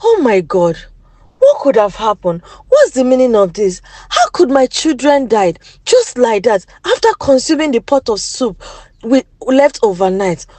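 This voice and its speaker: Woman acting a heartbroken, high-voiced village father. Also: big pitch swings, a little slow, warm tone, dry recording. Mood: heartbroken, confused. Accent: Nigerian. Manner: lamenting, bewildered.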